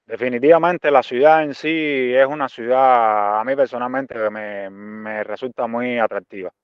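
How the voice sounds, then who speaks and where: Smooth-voiced Cuban man, dull lo-fi sound. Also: medium pitch, fairly even intonation, no room sound. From Cuba. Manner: halting, searching for words with repeated phrases. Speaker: interview guest, visual artist and art teacher. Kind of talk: reflective interview answers.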